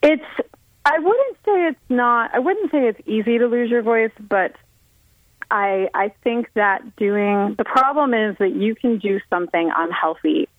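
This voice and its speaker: A woman's voice: on the high side, measured pace, no room sound. A woman singer talking casually in an interview, chatty with frequent ums and restarts. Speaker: guest singer. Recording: tinny phone-line audio.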